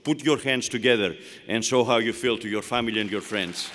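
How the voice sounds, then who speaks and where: A man with a Greek accent giving a formal commencement speech, delivering measured phrases one at a time from a podium. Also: mid-range voice, a bit bright, slight background noise. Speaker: university leader giving commencement address. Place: large hall. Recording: long reverb of a large hall.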